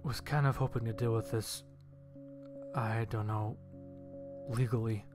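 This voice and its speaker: A man's voice: medium pitch, fairly even intonation, a little slow. A man narrating a scripted first-person story and acting out his own lines of dialogue. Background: background music.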